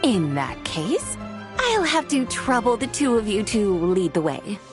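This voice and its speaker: A woman's voice: medium pitch, very animated, measured pace, over music. A woman playing a serene young female mystical being in an anime game, formal and courteous in her speech.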